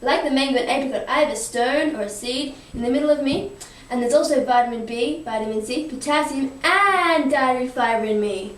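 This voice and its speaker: A woman's voice: high voice, fairly quick. A young female student performing lines in an amateur school puppet show, in her everyday voice. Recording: roomy, noisy, distant amateur recording.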